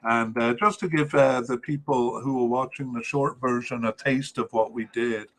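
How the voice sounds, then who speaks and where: A man going through a squad list on his soccer podcast, halting often with fillers and correcting himself as he goes. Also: mid-range voice, steady tone, rough recording. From Wales. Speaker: podcast host.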